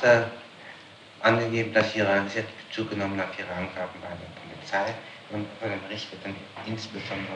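A man formally questioning someone as an interrogator, probing and deliberate, on an old 1960s tape. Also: on the low side, echoey room, recorded from a distance, noisy background.

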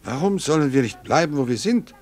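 A man's voice: a little high, lively intonation, fairly quick, darkish tone. An actor playing a domineering, irritable expedition man in a brusque, commanding way, in a German radio play. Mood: stubborn.

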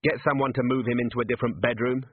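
A man's voice: mid-range voice, steady tone, very fast, husky, no room sound, close to an everyday voice. A man voicing a wary middle-aged watch commander who gives clipped, suspicious orders. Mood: curt. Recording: phone-like narrow band, dry.

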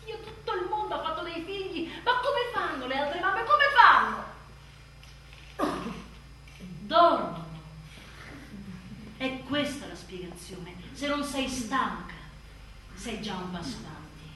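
A woman's voice: sits high, big pitch swings, unhurried, heavily acted. An actress playing an exhausted, exasperated new mother in a bitterly funny, dramatic confessional stage monologue. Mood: desperate. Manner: dramatic, sardonic, swinging from muttered to shouted. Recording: roomy distant theatre sound, faint noise.

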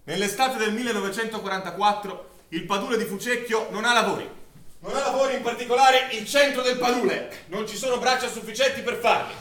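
Wartime villager witness, expressive and bitter radio-play monologue, high voice, echoing room. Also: male voice, brisk. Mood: grave, emphatic. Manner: expressive, dramatic storytelling delivery. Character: Italian villager witness recounting wartime German occupation, bitter, vivid. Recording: roomy, distant stage recording with faint noise.

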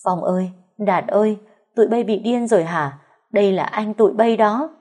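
A woman voicing a middle-aged mother-in-law who scolds in a shrill voice. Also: animated, normal speed, darkish tone. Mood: panicked.

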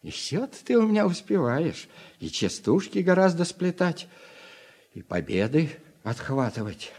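A man's voice: a little high, sing-song, unhurried, a little mellow, slightly rough, exaggerated voice. A man acting in a Soviet radio drama as a stern, sardonic middle-aged father who bosses a harvest crew. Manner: sardonic, authoritative.

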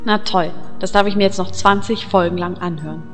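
A woman's voice: lowish voice, steady tone, fairly quick, long reverb tail, faint music behind, close to an everyday voice. A woman playing a vain, flirty, easily indignant elf in a parody fantasy audio drama.

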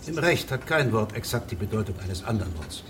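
Low-voiced, pedantic, shrewd judge. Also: male voice, slight background noise. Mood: dry, pedantic. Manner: measured, deadpan, formal, pedantic. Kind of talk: radio drama acting.

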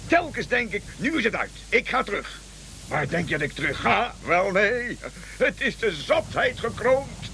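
A male actor playing an excitable, bashful European king in a comic radio play, politely flustered and repeating himself in hesitant bursts. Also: sits high, moderate pace, gravelly, a little hiss or hum, full character voice. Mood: exasperated.

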